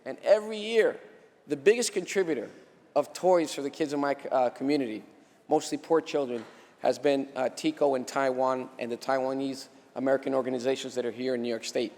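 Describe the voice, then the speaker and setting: A man, a state senator, delivering a halting floor speech with repeated phrases. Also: mid-range voice, darkish tone. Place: large indoor legislative chamber. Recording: echoey hall with long reverb.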